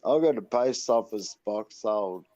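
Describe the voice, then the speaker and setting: A man's voice: fairly low pitch, unhurried, mellow, no echo, muffled recording. A middle-aged man in casual chat, laconic and profane.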